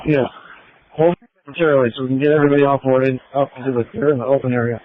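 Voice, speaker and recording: A man's voice: medium pitch, level tone, racing, no room sound. A man working as a transit police officer, giving terse incident reports over a radio from the scene. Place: out at a train station incident. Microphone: handheld police radio. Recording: narrow crackly police radio with static.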